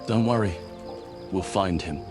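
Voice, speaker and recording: A man's voice: deep voice, measured pace. A man playing a kindly, righteous paladin in a video game, speaking with firm reassurance. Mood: reassuring. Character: calm, kindly, righteous male paladin hero. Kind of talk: video game dialogue acting. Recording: loud music behind the voice. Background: loud music.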